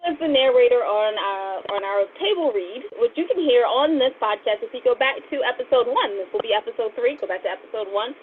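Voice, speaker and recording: Female podcast co-host, high and excitable, lively swooping delivery, slightly muffled sound. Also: quick pace, few pauses, crowd noise. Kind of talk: casual podcast banter. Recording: muffled, band-limited podcast audio.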